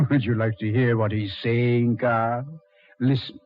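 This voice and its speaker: An actor playing a suave, mocking Devil in a measured, mock-polite 1940s radio horror style, on a muffled old recording. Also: male voice, mid-range voice, level tone, lightly acted. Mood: taunting. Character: the Devil, suave, mocking, coldly taunting male master of hell.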